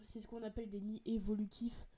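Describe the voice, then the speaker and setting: A woman's voice: medium pitch, flat delivery, quick pace, mellow. A young woman with a French accent and a bit of a cold, chatting enthusiastically as she walks through a website, full of fillers and restarts. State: has a cold, a bit congested. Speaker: ant-keeping channel host. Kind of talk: casual screen-share product walkthrough.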